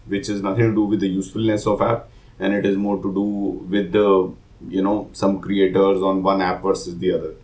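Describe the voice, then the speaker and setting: A man's voice: low-pitched, darkish tone. A man, hosting a daily tech news podcast with loose personal commentary and a voice dulled by a cold. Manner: loose and conversational, many uh and you know fillers. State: has a cold, voice not clear.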